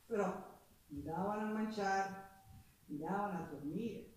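A woman's voice: medium pitch, darkish tone, slight background noise, close to an everyday voice. Poor Sicilian working woman, played by an actress: stage monologue, earnest and colloquial, passionate, recorded echoey and distant. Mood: matter-of-fact. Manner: earnest, colloquial storytelling with dialect words and pauses. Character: poor Sicilian working woman recounting her hard life, passionate, plain-spoken. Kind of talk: theatrical monologue.

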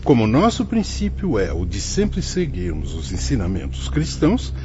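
An actor playing a benevolent priest guide in a Brazilian radio drama, speaking in a solemn, measured, didactic way with a Brazilian accent. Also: male voice, lowish voice, wildly swooping pitch. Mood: earnest, preaching. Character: benevolent priest guide in a spirit-world reformatory. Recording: dull lo-fi audio with faint noise.

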